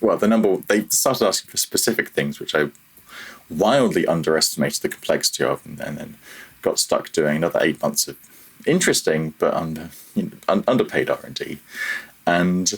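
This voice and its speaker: A man with a deep, somewhat husky voice speaks as an interview guest. He explains things informally, wandering from one thought to the next in a casual conversational style. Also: animated, normal speed, low-quality recording. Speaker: podcast guest, audio programmer.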